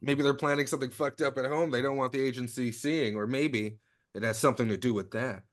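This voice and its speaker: A man voices a secret agent in a comedy read-through. He is earnest and profane, with a medium-pitched everyday voice. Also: fairly even intonation, a little fast, natural voice. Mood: suspicious. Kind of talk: comedic script table read.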